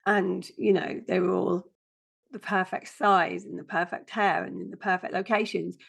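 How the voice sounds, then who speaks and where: A woman guest with a croaky, chesty voice, speaking bluntly and plainly in a casual interview. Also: on the low side, little pitch movement, moderate pace. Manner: blunt, down-to-earth. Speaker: guest, passive income strategist.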